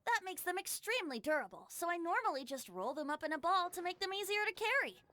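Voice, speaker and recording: Casting: whimsical boy bard woman actor, anime game, bright animated voice, florid and playful. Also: very high voice, quick pace, no room sound, exaggerated voice. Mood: breezy, explaining. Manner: theatrical, flowery, playful wording. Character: boyish, whimsical young male traveling bard. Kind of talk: anime video game voice acting.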